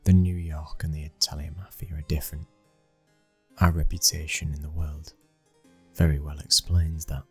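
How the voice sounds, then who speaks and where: Very deep, husky British mafia heir, acted by a man. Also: level tone, moderate pace, soft background music. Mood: guarded, frank. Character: brooding, dominant young mafia boss's son, possessive and menacing. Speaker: ASMR roleplay voice actor. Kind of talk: intimate ASMR boyfriend roleplay acting.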